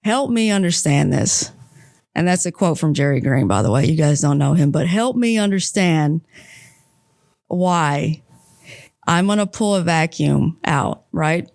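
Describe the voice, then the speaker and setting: A woman's voice: low voice, lively intonation, gravelly, a little mellow, no room sound, clean studio sound. A woman from Texas with a Texan accent, a guest on a podcast interview who chats and banters playfully about home life and her work. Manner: chatty, teasing, quick to banter. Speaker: guest, aggregate plant leader.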